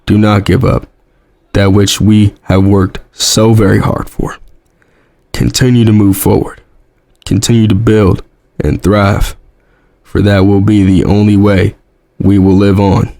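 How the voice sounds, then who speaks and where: Man acting a low, steady, solemn American president. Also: no echo. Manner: measured, oratorical. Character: solemn American president delivering a farewell address. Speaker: president giving address. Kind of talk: solemn scripted presidential address.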